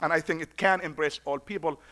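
High bright-voiced man at a podium. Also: steady tone, brisk. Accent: foreign accent. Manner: preacher-like, repeats lines for emphasis. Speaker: imam speaking at a conference. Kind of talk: conference speech. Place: conference room at a podium. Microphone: podium mic.

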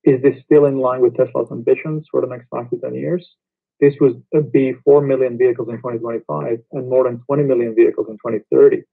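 A man's voice: mid-range voice, fairly even intonation, fast, gravelly, slightly rough. A man moderating an earnings call, reading out submitted questions from investors. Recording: muffled, lo-fi conference call audio.

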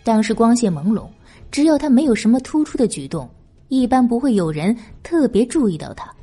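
Expressive woman narrator with a soft-edged voice. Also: a little high, a little fast, slightly rough, faint music behind. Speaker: audiobook narrator. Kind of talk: dramatic scripted narration of a historical novel.

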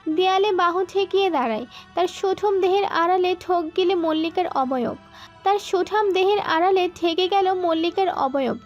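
A woman's voice: very high-pitched, muffled recording. A woman reading a scripted romantic story as its narrator.

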